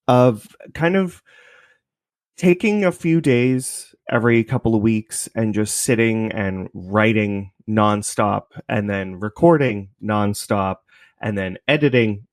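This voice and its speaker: Male podcast guest, somewhat low voice, casual explainer, recorded dry and close. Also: no room sound. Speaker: podcast creator, interview guest. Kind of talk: casual explanatory interview talk.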